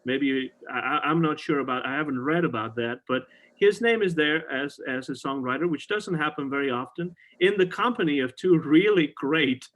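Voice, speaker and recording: A man's voice: a little high, normal speed, fairly bright tone. Chatty man hosting a music podcast. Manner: enthusiastic, many fillers and restarts. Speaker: podcast host. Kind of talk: casual conversation about music. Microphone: video call.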